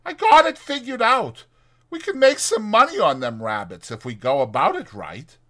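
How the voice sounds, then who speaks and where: A stubborn old ranch hand, pitched very high and loud. He speaks in a rustic drawl, insistent and easily indignant, in audiobook drama style. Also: sing-song, moderate pace, exaggerated voice. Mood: eager. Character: old crippled swamper man, stubborn, excitable dreamer.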